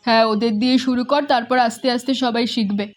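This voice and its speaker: A woman's voice: on the high side, steady tone, fast talker, faint music behind, lightly acted. A woman voicing a caring village mother. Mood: motherly. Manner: gentle, motherly, hospitable. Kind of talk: animated cartoon dialogue.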